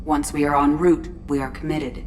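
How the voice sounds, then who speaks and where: A composed female starship computer from a video game played by a woman. She speaks low with formal precision over faint background noise. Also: flat delivery, normal speed, darkish tone, natural voice. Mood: calm, cautioning. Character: composed female ship artificial intelligence.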